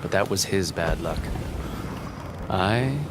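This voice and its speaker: A man's voice: sits low, animated, takes it slowly, noisy background. An actor playing a roguish, cynical First World War fighter pilot, narrating his story in a wry, casual, slangy way. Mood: wry, detached. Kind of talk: scripted cinematic narration. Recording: loud music behind the voice.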